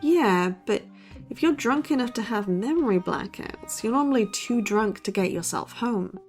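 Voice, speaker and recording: A woman's voice: on the high side, lively intonation, moderate pace, darkish tone, faint music behind. A woman playing a skeptical young college true-crime podcaster in an audio drama, with a dry, sardonic, analytical delivery. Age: young adult. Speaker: podcast co-host. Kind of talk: scripted banter in a horror audio drama.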